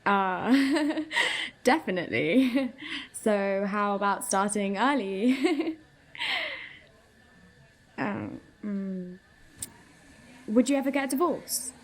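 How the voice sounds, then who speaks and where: Young date, curious, probing, giggly, unhurried speech, medium pitch, radio play. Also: female voice, lively intonation. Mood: teasing, giggly. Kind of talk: naturalistic radio play dialogue.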